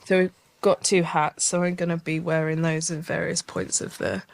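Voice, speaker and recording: A woman co-hosting a band podcast, her voice croaky from being unwell, talking in a rambling way full of fillers. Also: lowish voice, level tone, normal speed. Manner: chatty, rambling with many fillers. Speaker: podcast co-host, band member.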